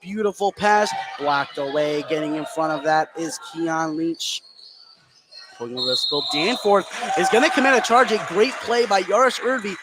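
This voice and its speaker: A man's voice: on the high side, expressive, normal speed. A man doing live play-by-play commentary of a basketball game. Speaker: play-by-play announcer. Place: courtside in a school gym. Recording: noisy gym broadcast. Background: loud gym ambience.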